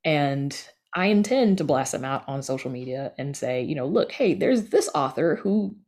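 A woman's voice: sits low, lively intonation, normal speed, darkish tone. A woman giving casual, candid and emphatic answers as an interview guest on a podcast.

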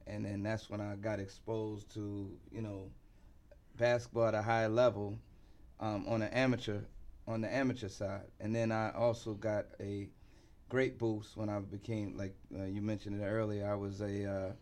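Low-voiced middle-aged New man, halting delivery. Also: little pitch movement, a little mellow. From New York City, United States. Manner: halting, pausing often mid-thought. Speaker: interview guest, basketball team insider and former coach. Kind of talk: conversational sports interview.

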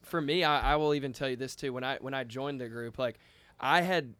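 Smooth-voiced young man telling stories. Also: mid-range voice, fairly quick, a bit bright. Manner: rambling, with many restarts and "like". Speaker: band member. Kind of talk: casual storytelling.

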